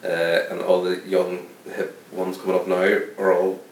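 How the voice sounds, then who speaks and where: A man's voice: completely flat delivery, normal speed, a little mellow. A man co-hosting a casual film podcast with dry jokes. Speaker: podcast co-host. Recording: echoey room, distant, faint rumble.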